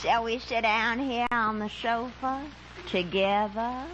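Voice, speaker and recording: An actress playing a sweet, genteel small-town sweetheart in an old-time radio comedy, with a coy manner. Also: female voice, a little high, fairly even intonation, slow pace. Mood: welcoming, coy. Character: sweet, courting small-town lady friend. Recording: muffled, noisy old-time radio recording.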